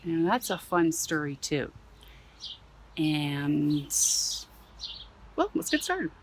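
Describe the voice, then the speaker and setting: A woman from Canada reads a children's storybook aloud outdoors in her backyard. Her pitch swings widely as she hams up the story with playful exclamations, and birds chirp faintly behind her. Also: takes it slowly, a little hiss or hum. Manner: expressive, playful, adds exclaimed asides. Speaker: museum storyteller. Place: own backyard.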